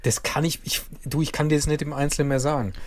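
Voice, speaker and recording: A man's voice: fairly high pitch, steady tone, fast talker. Hesitant man from Mannheim, dialect tinge. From Mannheim region, Germany. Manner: hesitant, many fillers and restarts. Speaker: guest. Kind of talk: casual interview.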